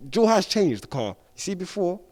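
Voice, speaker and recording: A man's voice: high-pitched, very animated, moderate pace, warm tone. A young man with a London accent, a drill rapper talking casually in an interview, slangy and laid-back, his voice muffled behind a mask. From born in Somalia, raised in Homerton, London.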